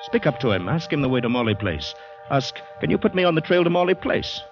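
A man's voice: fairly high pitch, big pitch swings, quick pace. An actor playing an anxious ex-soldier in a 1940s radio drama, brooding and hesitant as he talks himself through panic. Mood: mounting dread. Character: anxious ex-soldier fighting down panic, recounting his ordeal. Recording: dull old radio recording with music.

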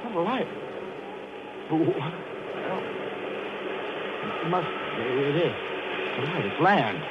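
A desperate killer lost at sea. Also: male voice, fairly high pitch, big pitch swings, very noisy. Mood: panicked. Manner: slow, then frantic and unravelling. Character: nervous male murderer adrift at sea. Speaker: lead actor narrating his own crimes. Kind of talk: 1940s radio drama acting. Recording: muffled old radio broadcast with music.

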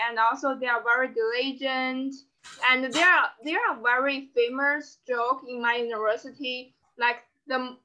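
Dull lo-fi audio, young woman, Chinese accent, high bright voice, halting non-native English, casual podcast guest. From China. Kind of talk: casual conversational interview.

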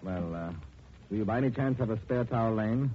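An actor in a 1940s radio drama playing a suave, unflappable gentleman detective with a polished, dryly witty, pedantic manner. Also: on the low side, brisk, faint music behind, lightly acted. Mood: wry explaining. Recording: tinny, noisy 1940s radio recording.

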